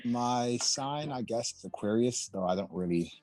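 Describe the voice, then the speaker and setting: A man in his twenties from the States introducing himself on a video call, hesitant and halting. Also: medium pitch, expressive, a little mellow, no room sound, soft background music. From United States. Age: 20s. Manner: hesitant, many "um"s. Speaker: guest. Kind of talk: casual self-introduction.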